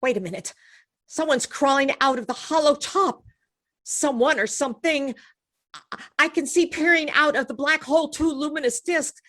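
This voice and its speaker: A woman's voice: high voice, normal speed, a bit bright. A woman playing an on-scene radio reporter describing a live event in a radio drama. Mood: horrified.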